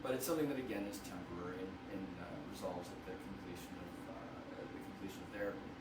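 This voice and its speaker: A man, a radiation oncologist, explaining treatment to patients in a measured way, slightly hoarse and often restarting his phrases. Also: sits low. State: hoarse from wildfire smoke. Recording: roomy, from far off, noisy.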